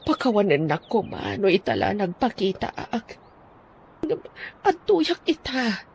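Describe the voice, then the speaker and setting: A woman's voice: medium pitch, big pitch swings, a little mellow, a little hiss or hum. A woman playing a remorseful estranged wife asking forgiveness in an Ilocano radio drama. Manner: slow, remorseful.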